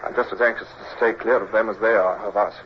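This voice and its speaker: An actor playing an earnest young British naval officer who narrates and gives orders in an old radio drama. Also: male voice, lowish voice, steady tone, quick pace, close to an everyday voice. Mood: brisk, commanding. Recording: noisy, dull old radio recording.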